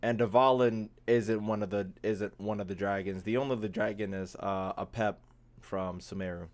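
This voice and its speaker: A man's voice: lowish voice, steady tone, moderate pace, a little mellow. A young man giving casual, rambling livestream gaming commentary, slangy and full of swearing. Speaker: game streamer.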